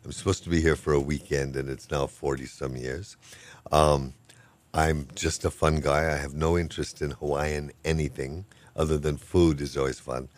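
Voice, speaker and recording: A man's voice: a bass voice, level tone, moderate pace, dry recording. An older man, a professor of Hawaiian language, talking casually and with good humor in an interview, wandering from point to point. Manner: rambling, good-humored, self-deprecating.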